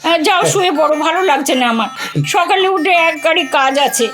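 A woman's voice: very high voice, lively intonation, brisk, a bit bright. A woman playing a long-suffering, scolding older goddess wife in an audio drama, full of sighing complaints. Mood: nagging. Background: music.

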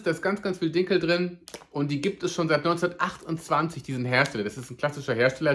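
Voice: mid-range voice, a bit bright. A food vlogger giving a casual, upbeat snack review to camera, chatty and playful, joking about their own pronunciation. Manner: chatty and upbeat, addresses viewers as "ihr Lieben".

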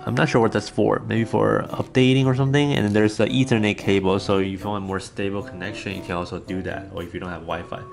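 A man's voice: on the low side, fairly even intonation, fast talker, creaky, hardly any pauses. A man in his thirties doing a casual, unscripted gadget unboxing as a vlogger. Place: small home dining room, indoors. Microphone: camera on the table or at arm's length. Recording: echoey room, music in the background.

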